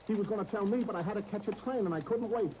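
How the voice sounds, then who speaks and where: An actor playing a pushy, brash talent agent with streetwise slang in a 1930s radio serial, on an old broadcast disc with surface noise. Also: male voice, sits high, fast talker, warm tone, lightly acted, rough recording. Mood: excited.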